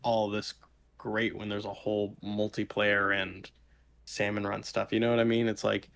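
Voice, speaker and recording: Low-pitched man, rambling podcast chat. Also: little pitch movement, moderate pace, creaky, a bit bright, slight background noise. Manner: rambling, loosely worded enthusiasm. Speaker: podcast co-host. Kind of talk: casual chat.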